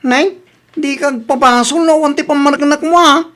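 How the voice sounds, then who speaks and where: A man plays an excitable husband in an Ilocano comedy radio drama. His voice sits high for a man, with an Ilocano accent and an exclamatory delivery. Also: moderate pace. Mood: defensive. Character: excitable husband of a light-fingered wife.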